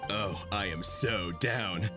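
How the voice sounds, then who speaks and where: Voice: sits low, takes it slowly, music in the background. A performer playing an enthusiastic, hungry adventurer in a video game, with an eager delivery. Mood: keen, hungry. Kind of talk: English video game voice acting.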